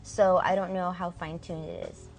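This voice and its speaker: A woman's voice: on the low side, steady tone, normal speed, a little mellow, faint music behind, rough recording. A young woman from Southern California, chatting casually as a guest singer and saying "like" and "um" often. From Southern California, USA. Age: young adult. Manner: chatty and friendly, many "um" and "like". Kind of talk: casual interview answers.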